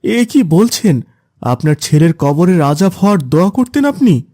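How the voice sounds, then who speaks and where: A man's voice: sits high, very animated, normal speed, dark tone, no room sound, clean studio sound. A man playing a concerned villager in a cartoon moral story, speaking earnestly and with urgency. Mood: concerned, pleading. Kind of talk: cartoon dialogue.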